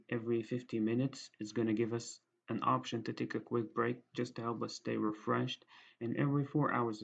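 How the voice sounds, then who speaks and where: A man with an Egyptian accent explaining a software demo step by step in a plain, hesitant way, as a student programmer. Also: on the low side, creaky. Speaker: student programmer presenting a project. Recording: dull, lo-fi microphone sound.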